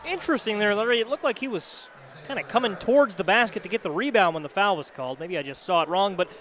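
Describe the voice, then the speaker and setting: Male basketball analyst for radio, high-pitched, conversational and explanatory, slight game noise behind. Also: expressive, quick pace, a bit bright. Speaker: basketball color commentator. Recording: faint gym noise, bouncing balls. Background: basketball game in a gym.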